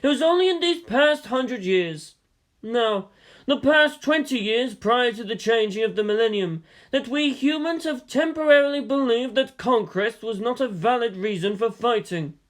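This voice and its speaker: A man acts out a grave military teacher in an audiobook-style story, philosophizing on war-room matters. The voice is pitched very high for a man, with measured, speechmaking delivery. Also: animated. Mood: grave, lecturing.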